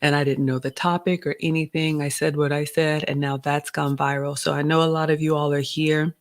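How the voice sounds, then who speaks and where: A woman's voice: deep voice, little pitch movement, a little fast. A woman in her forties, originally from Ghana, chatting casually as host of a live Q&A and reading out viewer comments. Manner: chatty, reads viewer comments aloud, uses "y'all" and "you all".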